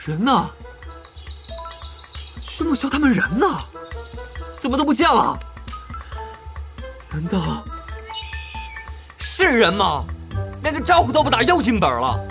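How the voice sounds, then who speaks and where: High-pitched, blustering young gamer. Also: female voice, big pitch swings, takes it slowly, pauses often, soft background music, exaggerated voice. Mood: baffled, exasperated. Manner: exclamatory, blustering. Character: excitable, indignant, loud-mouthed young gamer. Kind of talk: Chinese audio drama acting.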